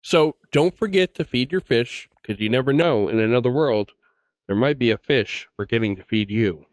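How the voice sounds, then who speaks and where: A man's voice: medium pitch, moderate pace, no room sound, rough recording. A man hosting a podcast, reading scripted show announcements to listeners. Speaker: podcast host. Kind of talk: scripted podcast outro narration.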